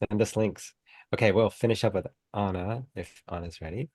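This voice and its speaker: A woman moderating a video call workshop, brisk and practical as she calls on participants. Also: a bass voice, a little mellow, no room sound.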